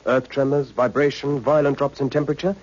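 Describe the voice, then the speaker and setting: A man's voice: medium pitch, fairly even intonation, fairly quick, darkish tone, close to an everyday voice. A man playing a keen, blunt newsman in an old radio science fiction drama, with punchy, informal, urgent delivery. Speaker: supporting actor in radio serial. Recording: muffled, dull old radio recording.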